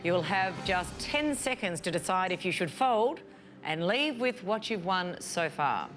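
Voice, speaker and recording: A woman's voice: fairly low pitch, expressive, measured pace, over music. An Australian woman co-hosting a game show in a breezy, chatty way.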